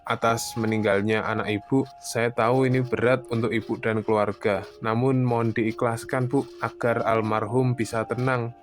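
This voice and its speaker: A man voicing a calm, sympathetic doctor with a formal, measured manner in an animated drama. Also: mid-range voice, soft background music, lightly acted. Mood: sympathetic, solemn. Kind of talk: animated horror drama dubbing.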